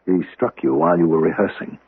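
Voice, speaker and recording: An actor playing a gentle, anxious young gentleman and devoted friend in a 1970s radio drama, speaking hesitantly and solicitously. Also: low voice, fast talker, slightly rough, dry recording. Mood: hesitant, uneasy. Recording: noisy, narrow-band old radio broadcast.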